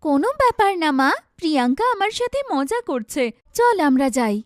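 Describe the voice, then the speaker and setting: A woman voicing a kind, self-effacing young sister in a cartoon, speaking in a gentle, earnest way. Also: sits high, sing-song, fairly quick, no room sound, studio quality, voice pushed down. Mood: apologetic. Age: young adult. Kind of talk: cartoon dialogue.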